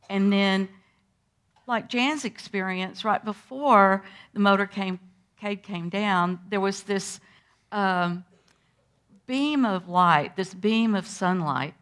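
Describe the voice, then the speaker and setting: Lively older woman telling a story. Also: mid-range voice, clear, resonant tone. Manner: lively, animated storyteller who acts out moments. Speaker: interview guest recalling a historic day. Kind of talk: storytelling to schoolchildren.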